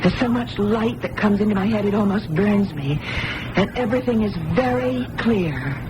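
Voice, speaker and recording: A woman's voice: medium pitch, moderate pace, natural voice. Actress as earnest young blind woman, noisy old recording. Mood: earnest, wondering. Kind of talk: radio drama acting.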